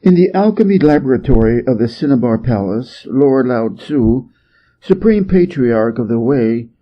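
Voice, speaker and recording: A man from Hawaii reading a storybook tale as an expressive narrator. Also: mid-range voice, moderate pace, lo-fi sound. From Hawaii, United States.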